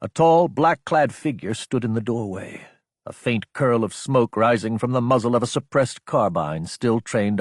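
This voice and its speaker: A man's voice: fairly low pitch, breathy edge, no echo, clean studio sound. A man reading action fiction as a dramatic, scripted audiobook narration.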